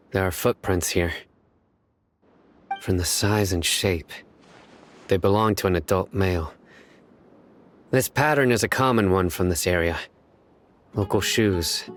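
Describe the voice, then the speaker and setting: A man playing a stern, terse young investigator who reasons out clues in short measured lines. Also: low voice. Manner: curt, measured, deductive. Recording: game cutscene with music behind.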